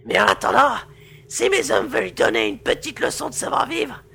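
A man voicing a gravelly gloating pirate chief. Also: very high-pitched, wildly swooping pitch, brisk, sharp tone, voice pushed up, heavily acted. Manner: gravelly, mocking, leering.

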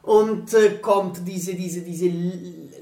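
An actress playing an excitable backpacker in a radio drama, telling a legend in halting, repetitive phrases as she searches for words. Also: fairly low pitch. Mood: awed. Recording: roomy, echoing sound.

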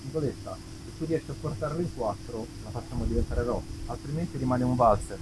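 A man's voice: mid-range voice, quick pace, background noise. A man, a musician telling wry anecdotes casually to an audience between songs at an outdoor concert. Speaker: musician, singer-songwriter. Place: outdoor festival stage in an olive grove. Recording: live outdoor concert recording, guitar behind.

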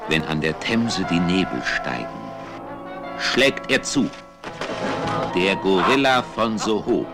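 A man's voice: deep voice, expressive, slow pace. A man in his thirties from Berlin narrating a vintage crime film trailer in an ominous, sensational, theatrical way. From Berlin, Germany. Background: dramatic film music.

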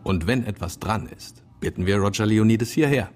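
An actor playing a fatherly senior police man in a German radio play, measured, probing and authoritative. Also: fairly low pitch, darkish tone, natural voice. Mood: calm, probing.

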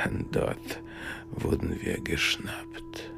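An actor in a radio drama playing a halting émigré man who recalls his past with long pauses. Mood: bitter recollection. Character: halting male émigré recalling a failed escape from the Soviet Union. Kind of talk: radio drama acting, slow recollection. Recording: music under the voice.

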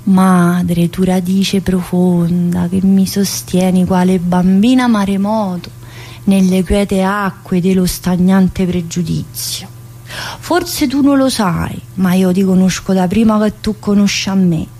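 A woman's voice: mid-range voice, slow, mellow. An actress playing a chatty, wistful mother in prison, in a colloquial theatrical monologue with a Southern Italian, Neapolitan-tinged accent. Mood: solemn, poetic. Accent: Southern Italian, Neapolitan-influenced. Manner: colloquial, addressing listeners with frequent tag questions.

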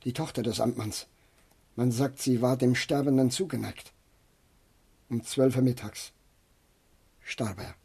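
An actor playing a distraught, deferential old manservant with a husky voice in a German radio drama. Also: male voice, mid-range voice, unhurried, pauses often, warm tone, heavily acted. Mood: subdued, deferential. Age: older. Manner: deferential, agitated. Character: distraught old manservant bringing grim news.

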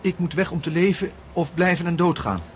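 Male actor as a dazed suicidal man, radio drama, halting delivery, long reverb, faint noise. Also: fairly high pitch, steady tone, natural voice. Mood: anguished. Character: despairing, confused suicidal man, dazed and haunted.

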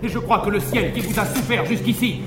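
A male actor as a cowardly, pleading manservant in classical stage comedy, with a strikingly high voice for a man. He is excitable and theatrically indignant, echoing through a big hall on an old live recording. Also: fairly quick, over music, lightly acted. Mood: scandalised. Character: cowardly, scandalised, pleading manservant of a libertine nobleman. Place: large theatre stage before an audience.

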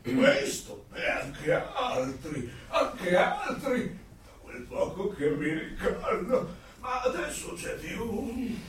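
Radio play: rambling old grandfather, slow, swooping pitch, chatty and muddled. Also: sing-song, exaggerated voice. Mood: muddled, wry. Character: rambling, grumbling old grandfather reminiscing about the past. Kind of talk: radio drama acting. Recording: echoey, distant, faint noise.

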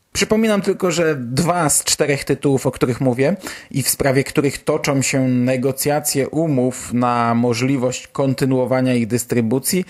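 A man with a Polish accent hosting a podcast, giving news commentary in a casual, chatty, colloquial way. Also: medium pitch. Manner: colloquial, chatty, mild swearing. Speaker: podcast host.

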